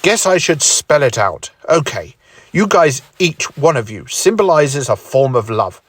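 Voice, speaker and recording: A man voicing a stern older male time traveller who explains things in a steady way. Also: medium pitch, measured pace, no echo.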